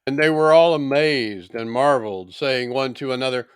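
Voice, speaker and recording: A man reading scripture solemnly from a script, with deliberate, dramatic oratorical phrasing. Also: medium pitch, clean tone, dry recording, studio quality. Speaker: scripture reader.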